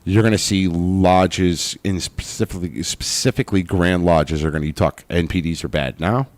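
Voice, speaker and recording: A man with a somewhat low voice co-hosts a casual podcast. He talks bluntly and emphatically and swears freely. The sound is close and dry, with no room around it. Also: fairly even intonation, normal speed, no room sound. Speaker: podcast co-host. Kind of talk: casual chat.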